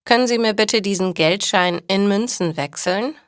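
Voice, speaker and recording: A woman's voice: mid-range voice, moderate pace, clear, resonant tone, no echo, studio quality, pitched above the performer's own voice, lightly acted. A woman voicing a hapless male driver in a storybook, in exclaiming read-aloud dialogue. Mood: plain, rueful.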